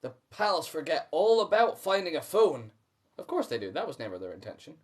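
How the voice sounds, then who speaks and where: A man's voice: on the high side, very animated, brisk. A man reading a storybook aloud as host, with chatty asides to listeners.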